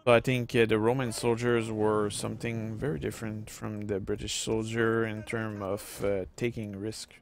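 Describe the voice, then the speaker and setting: A man's voice: lowish voice, little pitch movement, moderate pace, gravelly, few pauses. A middle-aged francophone man with a French Canadian accent, rambling casually with many fillers while commenting on a strategy game. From Quebec, Canada. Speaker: gameplay streamer.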